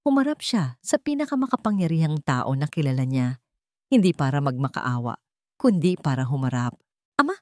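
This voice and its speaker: A woman narrating a scripted dramatic story in a solemn, deliberate manner. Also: deep voice, expressive, a little mellow, no room sound, studio quality.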